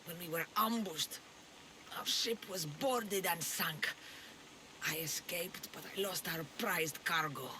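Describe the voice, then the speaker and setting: Worn-out gruff sailor in a game, bitter, clear background noise. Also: female voice, fairly low pitch, takes it slowly. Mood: weary. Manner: weary, gruff. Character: battered, weary female sailor, gruff and bitter. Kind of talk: video game cutscene acting.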